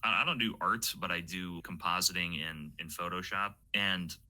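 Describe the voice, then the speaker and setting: A young woman with a low-pitched, very bright and sharp voice leads a casual interview. She phrases things informally and hesitantly, doubling back on words. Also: moderate pace. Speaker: interviewer, project creator.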